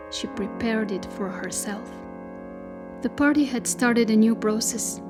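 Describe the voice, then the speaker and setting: A woman narrates a literary audio drama in a fairly high voice. Her phrasing is measured and deliberate, and faint music plays behind her.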